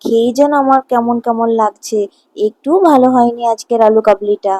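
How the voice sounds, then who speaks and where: A woman's voice: fairly high pitch, a little fast, warm tone, lightly acted. A woman voicing a fussy, outspoken little boy in a cartoon, plaintive and questioning. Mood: disappointed. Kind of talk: Bengali cartoon morality tale acting.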